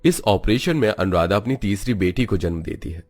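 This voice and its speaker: A man narrates a scripted moral tale in a steady, even storytelling voice. His voice sits fairly low. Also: fairly quick.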